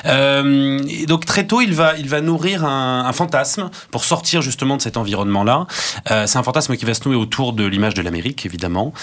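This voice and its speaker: A man's voice: medium pitch, a little fast, a bit bright. A man speaking as a guest on a radio interview, explaining at length in a thoughtful way with frequent hesitations. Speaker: guest critic. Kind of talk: radio interview, analytical commentary.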